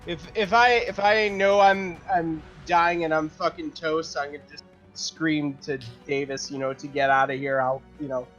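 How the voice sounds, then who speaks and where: A man's voice: on the high side, fairly even intonation, moderate pace, fairly bright tone. A man chatting casually as a player in a tabletop roleplaying game, sweary and given to short exclamations. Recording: dull lo-fi sound, music behind.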